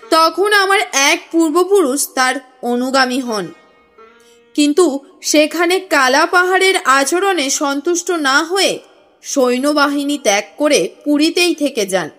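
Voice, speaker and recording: A woman voicing an earnest, courteous businessman in an audio story, speaking in a formal, measured, explanatory way. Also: high voice, fairly bright tone. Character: earnest, courteous businessman recounting his family history. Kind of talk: audio story character reading. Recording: music under the voice.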